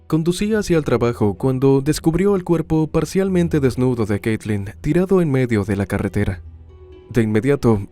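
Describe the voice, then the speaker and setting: A man delivering scripted true crime narration in a measured, dramatic storytelling manner. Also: medium pitch, mellow.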